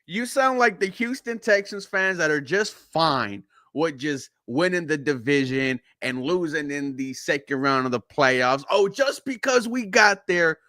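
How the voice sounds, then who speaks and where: Male sports panelist, forceful bright tone, very dry sound, casual emphatic debater. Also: on the high side, measured pace, few pauses, no room sound.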